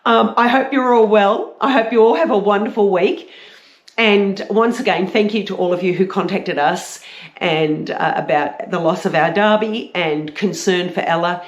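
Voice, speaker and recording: A woman with a croaky voice, chatting casually and a little hesitantly as a book reviewer. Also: medium pitch, moderate pace, lo-fi sound. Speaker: book reviewer, vlog host. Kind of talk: casual book chat with read-aloud blurbs. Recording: roomy, echoey sound.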